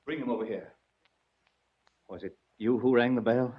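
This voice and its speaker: A man's voice: mid-range voice, moderate pace, slightly rough, natural voice. An actor playing a morbid, frightened older wealthy man with a hesitant, wary manner in 1940s radio drama. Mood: wary, suspicious. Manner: hesitant, stammering, suspicious. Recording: muffled 1940s radio recording.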